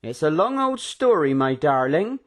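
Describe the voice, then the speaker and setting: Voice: mid-range voice, very animated, a little slow, voice pushed up. A reader voicing a cheerful, hearty middle-aged man welcoming guests. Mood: recounting. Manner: hearty, beaming. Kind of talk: audiobook character voice.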